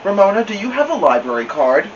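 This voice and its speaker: A kindly children's librarian lady, voiced by a man reading a storybook, with a lifted pitch. She is polite, understanding and gently questioning. The sound is a little dull, away from the mic. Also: big pitch swings, fast talker, distant mic, noisy background. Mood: kindly, businesslike.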